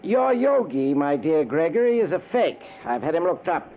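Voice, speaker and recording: An actor playing a stern, skeptical older foundation head in a 1940s radio drama, emphatic and scornful. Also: male voice, fairly high pitch, very animated, moderate pace. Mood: scornful, firm. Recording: tinny, noisy old radio recording.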